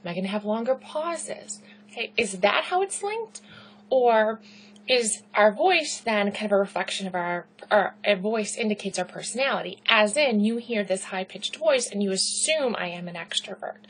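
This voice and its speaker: Loud, chatty woman lecturing. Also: expressive, normal speed, slightly rough, rough recording. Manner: loud, pitchy, conversational with rhetorical questions; frequent "right?" and "okay" check-ins. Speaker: instructor. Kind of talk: recorded lecture.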